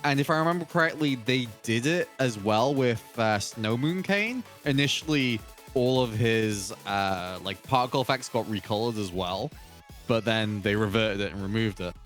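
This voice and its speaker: A man chatting as a podcast panelist, explaining things casually with halting repeats. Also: lowish voice, expressive, a bit bright, soft background music.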